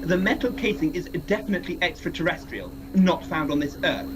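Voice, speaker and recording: A man playing a puzzled science professor, answering in a measured, tentative way in a radio play. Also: high-pitched, lightly acted, poor audio.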